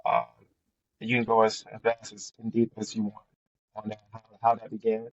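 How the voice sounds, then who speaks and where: A man's voice: on the low side, completely flat delivery, normal speed, vocal fry. A man hosting a podcast, speaking casually with many hesitations and slang. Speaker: podcast host. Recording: dry, dull lo-fi sound.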